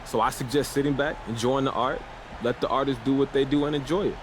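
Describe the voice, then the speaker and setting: An actor playing a cocky, defiant young American basketball star, casual and boastful in a sports game's post-game interviews. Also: male voice, mid-range voice, fairly even intonation, fast, noisy background, close to an everyday voice. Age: young adult. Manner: boastful, self-assured, casual.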